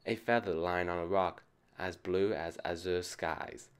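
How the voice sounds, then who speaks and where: A man's voice: low-pitched, steady tone, unhurried, clear, resonant tone. A man reading a picture book aloud to children, addressing them like a teacher. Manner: asks young listeners questions as a teacher would. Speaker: storybook reader for children.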